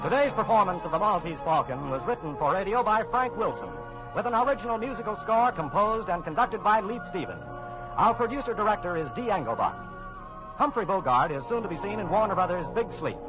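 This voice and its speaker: High-pitched, animated 1940s male radio announcer. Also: brisk, music in the background. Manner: formal, emphatic, declamatory. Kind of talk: 1940s sponsor announcement, scripted narration. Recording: muffled 1940s radio recording.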